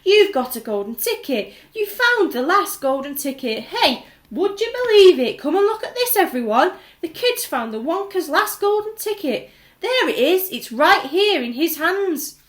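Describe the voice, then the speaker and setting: A woman voicing a fat, jolly male shopkeeper who gets loud and excited. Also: fairly high pitch, fairly quick, few pauses. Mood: ecstatic.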